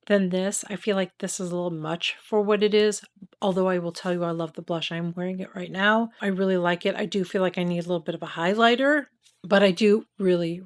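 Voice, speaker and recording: A middle-aged woman with a nasal voice from a cold, chatting candidly through a makeup review and tutorial. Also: on the low side. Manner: chatty, candid, talks while applying makeup. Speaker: beauty vlogger.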